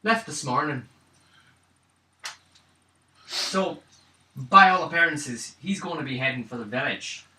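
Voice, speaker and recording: A man's voice: a little high, lively intonation, measured pace, long pauses, bright, forceful tone, exaggerated voice. A man voicing a wry, knowing fantasy stranger who muses in riddles in a tabletop game. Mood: wry, cryptic. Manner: cryptic, musing. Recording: roomy far-off tabletop recording, faint noise.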